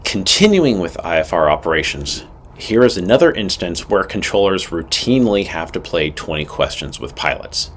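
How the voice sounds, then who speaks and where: Low-pitched man giving direct scripted instruction. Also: sing-song, moderate pace, a little hiss or hum. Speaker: instructor presenting a pilot training video.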